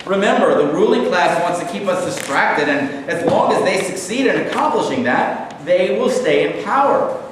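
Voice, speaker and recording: A man plays an earnest, preachy political organizer with a high-pitched voice, declaiming slogans to rally a meeting. It is a stage reading, heard from far off in a very echoey hall. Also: expressive, moderate pace, noisy background. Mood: rousing, preachy. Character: earnest, preachy socialist activist leading a meeting. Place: live staged reading in a reverberant room.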